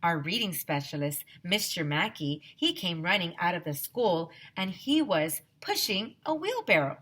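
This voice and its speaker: A woman's voice: fairly low pitch, sing-song, normal speed, a bit bright, slightly rough. A woman teacher reading a children's story aloud expressively, speaking directly to the young listeners.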